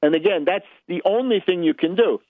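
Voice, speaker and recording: A man hosting a radio show, giving opinionated political commentary with emphatic, deliberate, halting phrasing and a mocking edge. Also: sits high, no echo. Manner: emphatic, rhetorical questions, mocking when quoting. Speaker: radio host. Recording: narrowband, muffled radio broadcast sound.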